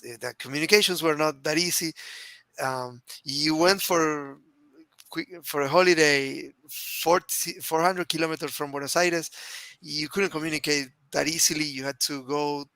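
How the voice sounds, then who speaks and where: A man's voice: on the high side, sharp tone, no room sound. A man in his forties from Argentina, with an Argentine Spanish accent, co-hosting a casual live stream over a video call headset and speaking in a heartfelt, rambling way. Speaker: co-host. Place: small home room.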